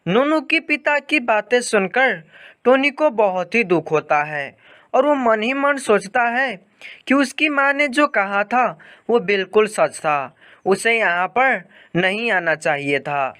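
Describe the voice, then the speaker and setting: Smooth, slow-paced storyteller with swinging pitch. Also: lively intonation, fairly bright tone. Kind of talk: scripted narration of a children's moral story.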